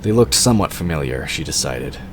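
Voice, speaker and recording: Very deep-voiced man narrating over noisy ambience. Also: expressive, brisk. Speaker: narrator of an audio drama. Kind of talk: scripted fantasy story narration. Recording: clearly noisy background ambience.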